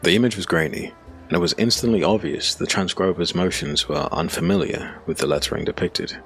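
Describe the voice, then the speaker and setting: A man reading scripted dark fantasy narration in a measured, dramatic, gravelly voice. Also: deep voice, animated, soft background music. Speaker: audiobook narrator.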